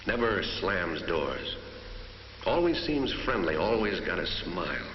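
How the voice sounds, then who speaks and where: A man's voice: low voice, big pitch swings, a little slow. A man playing an approving, plain-spoken grocer thinking aloud in a reverberant voice-over in a training film. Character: approving male grocer's inner thoughts. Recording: echoing, muffled film soundtrack, hiss.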